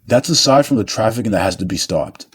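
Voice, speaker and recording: A man's voice: on the low side, lively intonation, fast, slightly rough. Emphatic, preachy man in monologue. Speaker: online commentator. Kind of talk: impassioned opinion monologue.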